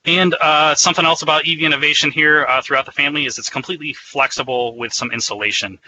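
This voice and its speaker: A man's voice: fairly high pitch, steady tone, quick pace, bright, forceful tone, a little hiss or hum, low-quality recording. A man giving an explanatory, conversational product presentation in a semi-scripted webinar style.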